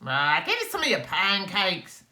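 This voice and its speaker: A woman's voice: medium pitch, wildly swooping pitch, unhurried, bright, forceful tone, far from the mic, voice pushed up, exaggerated voice. A woman voicing rude, greedy animal neighbours from a storybook in a brash, demanding, exclaiming manner.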